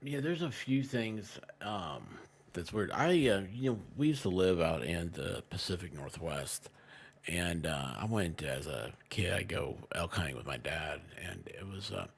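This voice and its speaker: A man chatting casually as a livestream co-host, with an animated, bantering manner. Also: low voice, a little slow, vocal fry, husky.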